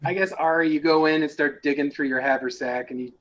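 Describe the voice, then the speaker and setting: A man's voice: a little high, fairly even intonation, fast talker, fairly bright tone, muffled recording. Male game master over audible background noise. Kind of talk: improvised tabletop roleplay narration.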